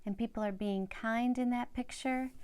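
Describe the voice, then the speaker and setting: A woman's voice: on the high side, fairly even intonation, normal speed, darkish tone, clear, resonant tone. An older woman reads a children's Bible story aloud, speaking directly and simply to young listeners.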